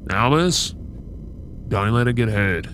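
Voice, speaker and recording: A man's voice: mid-range voice, expressive, a bit bright. Man playing a solemn knight captain, noisy backdrop. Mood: grave, subdued. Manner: formal, earnest, measured. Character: solemn, remorseful warrior knight captain, male. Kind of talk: anime fantasy audio roleplay acting.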